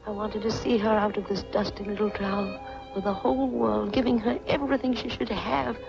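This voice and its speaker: An actress playing a mousy older aunt who is a coldly menacing murderess, speaking deliberately in 1940s film style. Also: female voice, mid-range voice, flat delivery, creaky, over music, lightly acted. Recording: dull 1940s film soundtrack from VHS. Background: prominent film score.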